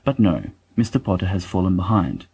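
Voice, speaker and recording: Male reader as a fussy, bookish young man in an audio drama; dark, low voice, dryly self-deprecating. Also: sing-song, fairly quick, breathy edge, full character voice. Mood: fond, exasperated. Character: wry bookish young male letter-writer, fussy and affectionate. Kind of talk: dramatic reading of letters.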